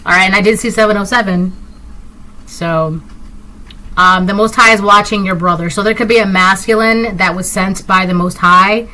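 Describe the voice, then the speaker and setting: A woman's voice: medium pitch, steady tone, normal speed, sharp tone, slight background noise, low-quality recording. A Spanish-speaking woman from Puerto Rico giving a casual tarot reading, rambling with lots of fillers and restarts. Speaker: tarot reader. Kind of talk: casual intuitive reading to camera.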